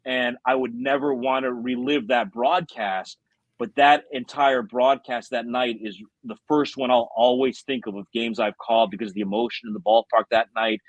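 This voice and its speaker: A man's voice: mid-range voice, fairly even intonation, normal speed, no room sound, dull audio. A middle-aged American man, a former baseball radio announcer, telling stories conversationally as a podcast guest. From South Florida, United States. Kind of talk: conversational storytelling.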